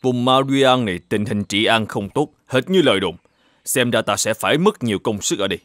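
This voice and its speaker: A man voicing an upright, determined governor in an animated folk tale, speaking formally and with measured authority. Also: mid-range voice, dry recording, clean studio sound, natural voice. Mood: grave, composed.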